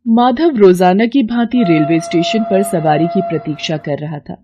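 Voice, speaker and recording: A woman's voice: lowish voice, normal speed, lo-fi sound, background noise. A woman narrating a scripted moral story as its storyteller.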